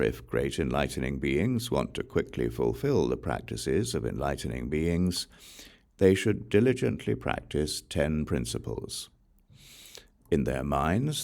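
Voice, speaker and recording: A man with an extremely deep voice reads sacred scripture for an audiobook. There is a pronounced gravelly creak in his tone. His recitation is measured and solemn, yet the intonation rises and falls in a lively way. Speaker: audiobook reader. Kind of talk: scripted reading of Buddhist scripture.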